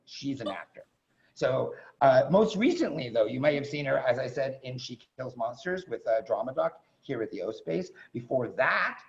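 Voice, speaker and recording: A man with a gravelly, mid-pitched voice introduces a performer informally. He is effusive and halting, repeating words as he goes. The video call audio is dull and lo-fi. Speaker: theatre director and teacher introducing performers.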